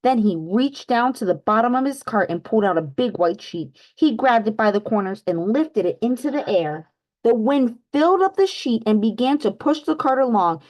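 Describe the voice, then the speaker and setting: A woman reading a picture book aloud for children, pausing to ask her young listeners questions. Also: a little high, lively intonation, a little mellow, rough recording. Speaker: children's read-aloud host. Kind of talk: storybook read-aloud.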